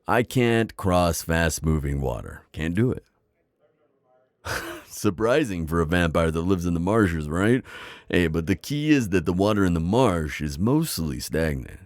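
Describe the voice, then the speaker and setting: A man playing a kindly, wise vampire innkeeper in an intimate ASMR roleplay, deep-voiced, with a folksy, chatty and slightly hesitant manner. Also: dry recording, clean studio sound. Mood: amused, confiding. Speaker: audio roleplay performer.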